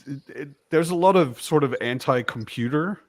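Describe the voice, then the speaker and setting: A man's voice: on the high side, moderate pace, no room sound. A man chatting casually in a book discussion, repeating words as he gets his thoughts going. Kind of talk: casual chat.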